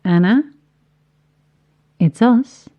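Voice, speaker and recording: An actress playing a cold, taunting caller over a radio in a horror audio drama, measured and ominous. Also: female voice, medium pitch, phone-quality sound, background noise. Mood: cold, knowing. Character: cold, menacing female caller, insistent and taunting.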